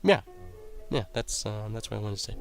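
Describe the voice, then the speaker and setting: A young man hosting a game playthrough, talking casually with a raspy, sick-sounding voice and rambling with lots of ums and uhs. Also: on the low side, expressive, fairly quick, faint music behind. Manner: rambling, many ums and uhs, reads quest text aloud. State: raspy voice from illness. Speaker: let's play host.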